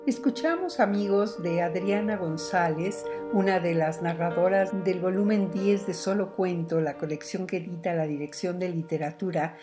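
Low-voiced female radio host, soft music behind. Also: normal speed, few pauses. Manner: clear, formal announcing. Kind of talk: radio presenter closing announcement.

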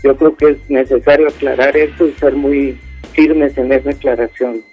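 A man, a university professor, giving measured, explanatory interview answers. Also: mid-range voice, steady tone. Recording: dull lo-fi dry sound, faint music.

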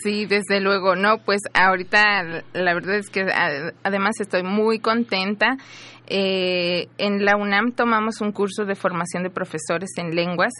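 A woman's voice: medium pitch, fairly even intonation, a little slow, sharp tone. A woman from Hidalgo, Mexico, talking earnestly as a guest on a radio show. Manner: earnest, laughs easily. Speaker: guest, human rights advocate. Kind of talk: radio interview.

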